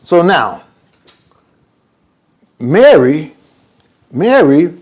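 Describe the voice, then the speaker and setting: A man's voice: fairly high pitch, drawn-out words. An older man teaching an informal religious lesson as imam, wandering off topic and acting out conversations. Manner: animated and digressive, acts out conversations.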